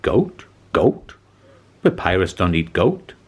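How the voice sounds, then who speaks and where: A man's voice: deep voice, very animated, slow pace, slightly rough, heavily acted. A man voicing a bossy, blustering pirate chief in a children's storybook, with a commanding, exclamatory delivery. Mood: indignant.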